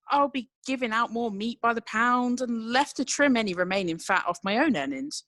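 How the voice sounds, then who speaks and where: A woman acts out an earnest butcher on trial in a radio play. The voice sits fairly high and the sound is dry. The manner is self-justifying, that of a breadwinner defending a living. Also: fairly bright tone, no room sound. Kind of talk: radio courtroom drama acting.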